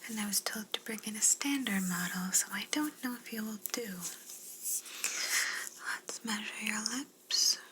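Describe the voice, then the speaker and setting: A woman playing a curious, politely condescending alien examiner in a sci-fi ASMR roleplay, whispering softly and fussily, repeating words soothingly. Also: medium pitch, slight background noise, rough recording. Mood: clinical, intrigued. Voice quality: soft, breathy whisper. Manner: slow, gently fussy, clinical, repeats words soothingly.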